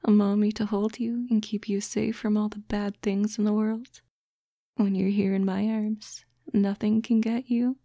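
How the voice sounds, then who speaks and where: Woman doing a mommy fox girlfriend asmr, breathy n cooing, bit of fry, really close dry mic. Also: mid-range voice, flat delivery, no echo, natural voice. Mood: affectionate, teasing. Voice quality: soft, breathy, often whispering. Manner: slow, coaxing, cooing. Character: doting, teasing motherly fox-girl girlfriend, soothing and protective. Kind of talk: intimate ASMR roleplay. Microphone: close, dry microphone.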